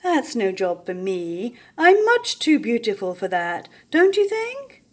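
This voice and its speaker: Pompous grunting male warthog for a picture book, played by an older woman, slow, swooping delivery. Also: fairly high pitch, huge pitch swings, full character voice. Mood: vain, dismissive. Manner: grunting, self-important. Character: vain, bossy male warthog, politely pompous.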